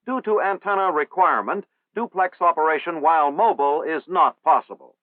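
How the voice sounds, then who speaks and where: A man narrating a military training film in a formal, measured, instructional manner. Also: on the high side, dry recording. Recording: old 1960s film soundtrack, tinny.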